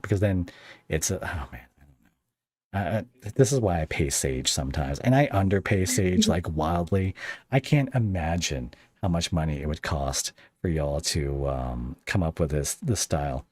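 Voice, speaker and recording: A man's voice: low-pitched, darkish tone, dry recording. A man hosting a casual interview podcast, talking in a rambling, self-deprecating way.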